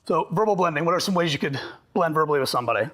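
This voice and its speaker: A man presenting a workshop to a group, talking fast and animated, slightly hoarse from losing his voice. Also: a little high. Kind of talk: live workshop talk to a group.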